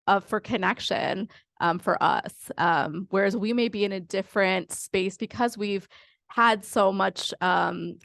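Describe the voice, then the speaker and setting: Gravelly-voiced thoughtful woman. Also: mid-range voice, no room sound, studio quality. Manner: thoughtful, hesitant, many ums. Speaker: educator in the audience. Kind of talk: audience comment and moderating.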